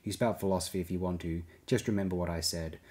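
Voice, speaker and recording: A guarded, bitter woman in an audiobook, voiced by a male narrator in his own deep register. She speaks low and curt, with hard intensity. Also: steady tone, fast talker, warm tone, lightly acted. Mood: snappish. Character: tough, guarded, bitter woman, quick to snap.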